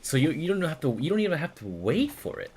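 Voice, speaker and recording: A man's voice: on the high side, huge pitch swings, fast, slight background noise. A man giving casual live commentary while he plays a video game. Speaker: game streamer. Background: loud video game music and sounds behind the voice.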